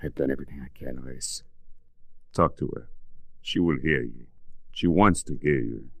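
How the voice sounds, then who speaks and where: A very low-pitched, earnest doctor of middle age in an old radio drama. He phrases things formally and with care, and the sound is dry and close. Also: moderate pace, creaky, husky, no room sound, heavily acted. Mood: somber. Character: grave, earnest middle-aged European doctor, male. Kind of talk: 1940s radio drama acting.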